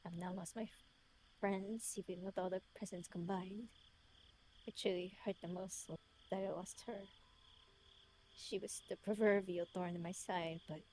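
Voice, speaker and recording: A woman voicing a lonely, remorseful man with a British accent, reading his confession in a solemn, literary, measured way. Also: fairly low pitch, warm tone, slight background noise, close to an everyday voice, rough recording.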